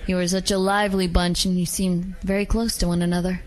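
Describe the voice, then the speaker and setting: A woman voicing a polite, gentle female werewolf dancer who is secretly an assassin, in a hobby audio drama. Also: lowish voice, level tone, brisk, natural voice. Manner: polite, gentle, softly coaxing.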